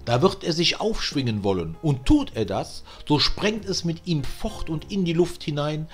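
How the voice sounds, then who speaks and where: A man's voice: on the high side, animated, normal speed, faint music behind, lightly acted. Man voicing an ominous talking raven. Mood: foreboding. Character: talking raven, knowing, ominous prophet of doom.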